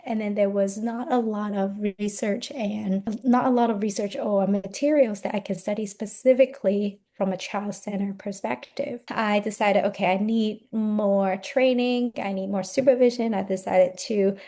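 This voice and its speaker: Dark-toned woman. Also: medium pitch, fairly even intonation, normal speed, few pauses. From Hong Kong. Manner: earnest, reflective, with frequent restarts. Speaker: guest play therapy expert. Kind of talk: podcast interview answers.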